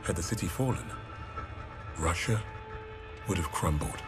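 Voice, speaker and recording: Deep, solemn war narrator. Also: male voice, lively intonation. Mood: grave, admiring. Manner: solemn, measured. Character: grave male war narrator telling a legend. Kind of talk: dramatic scripted narration. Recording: loud music under narration.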